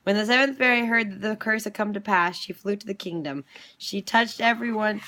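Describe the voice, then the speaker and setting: A woman's voice: medium pitch, brisk, bright, forceful tone. A fourteen-year-old girl chatting and reading aloud, questioning and ready to argue. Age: 14, teenager. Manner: giggly, questioning, argumentative. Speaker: daughter helping with story time. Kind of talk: casual chat and reading aloud.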